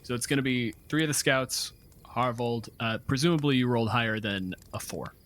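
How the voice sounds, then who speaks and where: A man's voice: mid-range voice, brisk, fairly bright tone. A man running a tabletop game as game master, narrating and explaining rulings in a casual, profane way.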